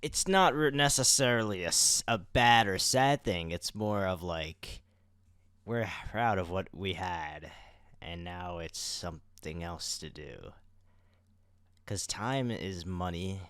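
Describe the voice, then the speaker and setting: Young male podcast co-host, low clean voice, dry sound, chatty with announcer touches. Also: slow, fairly bright tone, clean tone, dry recording. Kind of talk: casual podcast chat.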